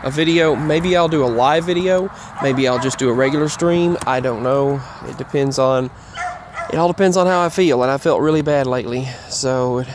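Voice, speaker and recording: A man giving a casual, rambling update while walking outdoors, halting and often repeating himself. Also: medium pitch. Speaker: vlogger. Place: outdoors, walking in a grassy yard near roads. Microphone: earbuds microphone with a phone. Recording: clear outdoor traffic noise. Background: passing traffic.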